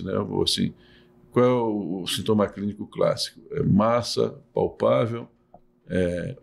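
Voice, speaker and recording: A middle-aged man with a low, gravelly voice. He explains things patiently like a teacher and often restarts his phrases as he talks through them in an interview. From Salvador, Bahia, Brazil. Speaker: urologist and professor.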